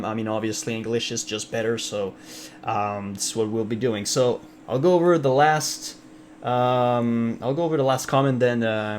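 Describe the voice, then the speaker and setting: A man's voice: mid-range voice, slight background noise. French Canadian man chatting on stream. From Ontario, Canada. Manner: rambling, many fillers and restarts, reads chat aloud. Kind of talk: casual live-stream chat.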